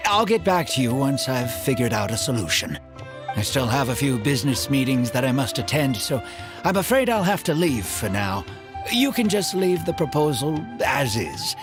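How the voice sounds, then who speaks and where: An older former mercenary in a video game, humble and polite. He speaks earnestly at a medium pitch with lively swings, and music plays clearly behind him. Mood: polite, evasive. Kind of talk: acted video game dialogue.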